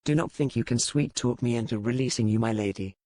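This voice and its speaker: A woman's voice: a bass voice, quick pace, dark tone, dry recording, voice pushed down. A woman voicing a curt, proud, menacing pirate lord with formal, archaic phrasing in an audiobook. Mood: stern. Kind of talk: audiobook character dialogue.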